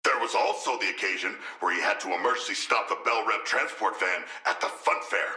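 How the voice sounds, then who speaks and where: A man's voice: fairly low pitch, a little fast, sharp tone, roomy sound. A man voicing a hulking, earnest, literal-minded shark warrior in a video game, speaking in formal, grandiose, archaic phrases. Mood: earnest, eager. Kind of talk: video game character dialogue.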